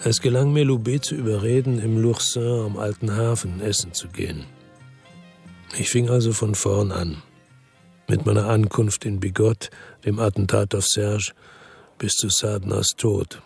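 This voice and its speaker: An actor playing a world-weary, bitter crime investigator who narrates and talks tersely in a radio drama, with a gravelly, husky, deadpan voice. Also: male voice, on the low side, measured pace, soft background music. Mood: dry, reflective. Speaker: first-person narrator and lead character in a radio drama.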